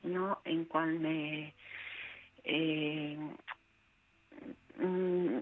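Slow, hesitant Peruvian woman on the phone. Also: deep voice, flat delivery, soft background music. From Peru. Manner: hesitant, halting. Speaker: radio caller. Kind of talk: personal account told on air. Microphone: telephone. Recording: band-limited phone call to radio.

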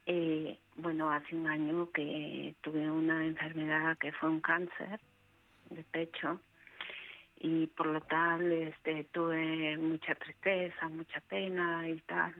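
Woman, Peruvian accent, radio caller, lowish voice, very slow, halting personal account, narrow telephone sound. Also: flat delivery. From Peru. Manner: hesitant, halting. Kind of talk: personal account told on air. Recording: band-limited phone call to radio.

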